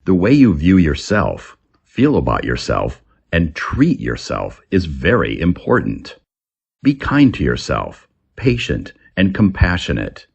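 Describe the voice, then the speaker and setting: Deep, gravelly man reading an English lesson. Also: huge pitch swings, unhurried, lo-fi sound. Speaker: English teacher. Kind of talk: scripted vocabulary lesson narration.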